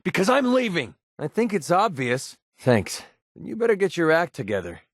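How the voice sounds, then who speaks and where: An actor voicing a wry, prickly young mercenary sniper with a hidden soft side in a video game, sarcastic and conversational. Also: male voice, a little high, normal speed, no echo, clean studio sound. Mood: angry, frustrated. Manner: sarcastic, conversational, emotionally expressive. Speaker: video game companion character. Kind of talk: naturalistic video game voice acting.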